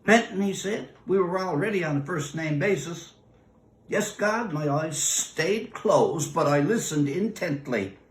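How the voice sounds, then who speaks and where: A man reading a short story aloud dramatically, pausing deliberately in a roomy space. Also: a little high, lively intonation. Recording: roomy echo.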